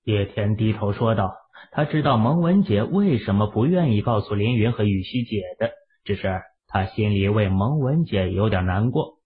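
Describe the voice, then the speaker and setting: A man's voice: on the low side, expressive, normal speed, hardly any pauses, phone-quality sound. A man reading a story as a scripted, dramatic audiobook narration.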